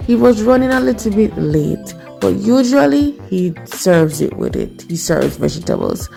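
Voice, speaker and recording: A woman vlog host giving a relaxed recap to camera. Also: mid-range voice, moderate pace, creaky, faint music behind. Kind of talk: wrap-up to camera.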